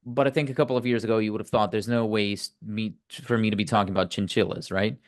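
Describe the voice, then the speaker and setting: A man's voice: fairly low pitch, steady tone, fast talker. A man hosting an interview over a video call, asking probing follow-up questions. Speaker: interview host. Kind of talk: interviewing a guest.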